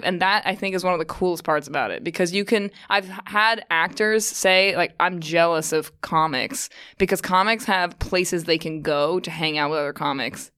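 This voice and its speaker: A woman's voice: fairly low pitch, fairly bright tone, clear, resonant tone. A woman from outside London, a comedian guest, chatting casually in an interview. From grew up outside London, UK.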